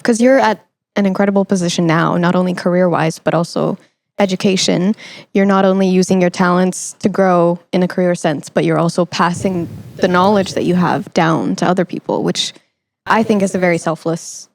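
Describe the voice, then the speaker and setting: A woman's voice: fairly low pitch, gravelly, a little mellow, no room sound. A young woman hosting a conversational interview in an encouraging, supportive way. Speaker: podcast host.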